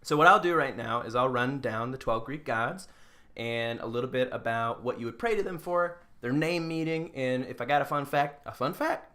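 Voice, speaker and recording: A man's voice: medium pitch, moderate pace, pushed and bright. A man hosting a podcast, casually retelling myths in a joking, irreverent and slangy way. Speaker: podcast host.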